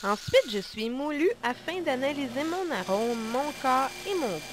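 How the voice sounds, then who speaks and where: A woman's voice: high voice, expressive, moderate pace, crowd noise. A woman voicing a curious young coffee bean who chats away as the narrator of a storybook. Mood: chatty. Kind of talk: amateur storybook voice acting.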